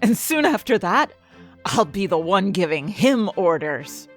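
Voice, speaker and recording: A woman's voice: medium pitch, sing-song, a little slow. A woman playing an ambitious, indignant fantasy inventor, narrating her own story expressively and articulately in an audio drama. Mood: indignant, boastful. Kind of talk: first-person dramatic audio fiction narration. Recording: music bed behind the voice.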